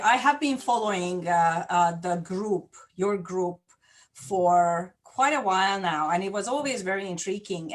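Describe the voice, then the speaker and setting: A woman, chatting as an interview guest. She talks in a lively, open way and restarts her sentences as she goes, with a voice of middling pitch. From Hungary. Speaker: guest, digital marketer. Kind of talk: casual interview on a livestream.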